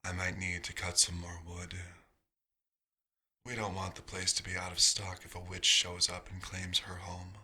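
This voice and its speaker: Low, husky, unhurried vampire played by a man. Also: monotone, pushed and bright, heavily acted. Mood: wistful, musing. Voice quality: husky, breathy. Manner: slow, musing, halting pauses. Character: centuries-old male vampire doctor, wistful, dryly wry, fatherly. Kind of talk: soft-spoken ASMR roleplay monologue.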